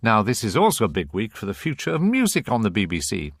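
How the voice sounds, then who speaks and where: A man's voice: lowish voice, huge pitch swings, quick pace, no echo, clean studio sound. A man presenting a radio programme, reading scripted links and putting questions to interviewees.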